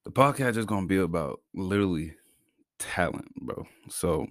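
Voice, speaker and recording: A man's voice: deep voice, animated, normal speed, no echo, clean studio sound. A young man from Massachusetts hosting his own podcast in a casual, slangy way, with an occasional stutter. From Brockton, Massachusetts. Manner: slangy, laid-back, stutters at times. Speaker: podcast host. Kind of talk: casual solo podcast talk.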